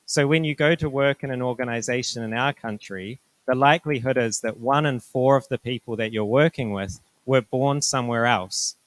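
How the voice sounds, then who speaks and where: A middle-aged man from New Zealand with a New Zealand accent, a university director giving an informational presentation to students in a polite, measured, explanatory way. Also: mid-range voice, low-quality recording.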